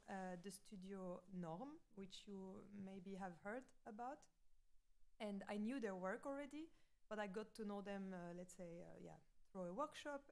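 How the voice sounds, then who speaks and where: A woman's voice: medium pitch, a little mellow. A woman in her thirties with a Swiss French accent, a native French speaker giving a lecture in English, a little nervous and hesitant. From French-speaking Switzerland. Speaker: guest lecturer, graphic designer.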